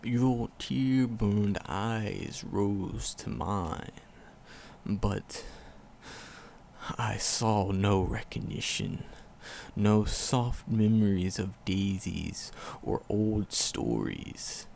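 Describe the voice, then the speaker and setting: A man playing an ancient, tender and sorrowful forest demon in an ASMR roleplay, with a gravelly voice and solemn, archaic storytelling. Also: fairly low pitch, very slow, darkish tone, slight background noise, exaggerated voice. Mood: sorrowful, regretful. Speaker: voice actor in audio roleplay.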